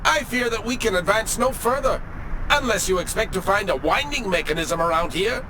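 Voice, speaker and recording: High-voiced, fussy automaton train driver. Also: male voice, lively intonation, normal speed, sharp tone, slight background noise, low-quality recording. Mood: insistent, formal. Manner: prim, precise, stiffly formal diction. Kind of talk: video game voice acting.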